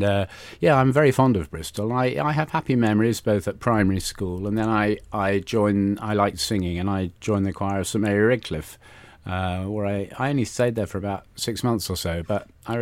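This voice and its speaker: A man's voice: fairly low pitch, brisk, no echo. A man in his sixties with a London accent, reminiscing casually as a studio interview guest in a hesitant, self-deprecating, chatty way. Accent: London English. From London, England. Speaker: interview guest, church musician. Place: radio studio.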